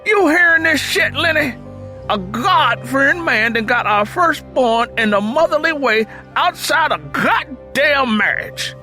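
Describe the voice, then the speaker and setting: A man's voice: very high voice, expressive, unhurried, sharp tone, faint music behind, pitched above the performer's own voice, heavily acted. A man playing a hot-tempered, hard-drinking rural father with a growling Southern voice and a blustering, folksy dialect, in an audio drama. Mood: furious.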